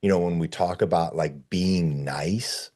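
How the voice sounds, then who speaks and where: A man with a very low, mellow voice coaches someone through a casual conversation. He sounds dark-toned and relaxed, and phrases his advice informally and plainly. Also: a little slow. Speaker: discussion leader giving advice.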